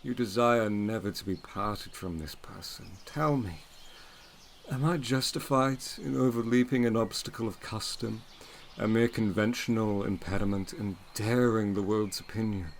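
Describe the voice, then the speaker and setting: An actor playing a brooding, commanding master of a gothic manor in a period audio drama, intense and imperious in manner. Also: male voice, on the low side, normal speed, gravelly, slight background noise. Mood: fervent, brooding.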